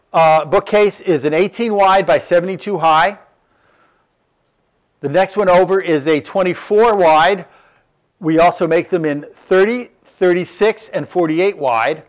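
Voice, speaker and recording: Sixties male business owner, plain unpolished showroom pitch, a touch higher-voiced. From Southern California. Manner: plain, matter-of-fact, lists measurements with fillers. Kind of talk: product presentation. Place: furniture showroom, indoors.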